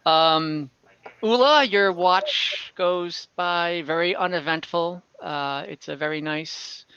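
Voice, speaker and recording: A man's voice: a little high, slow pace, pushed and bright, clean tone, dry recording, lo-fi sound. A man improvising narration as game master of a tabletop fantasy game over an online call.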